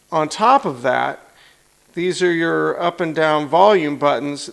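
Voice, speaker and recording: A man with a fairly high-pitched voice and a noticeable gravelly creak gives a casual hands-on review. He is plain-spoken and blunt, explaining things as he handles them. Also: fairly bright tone, rough recording. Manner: plain-spoken, blunt, demonstrating while talking. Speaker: product reviewer, motorcycle channel host.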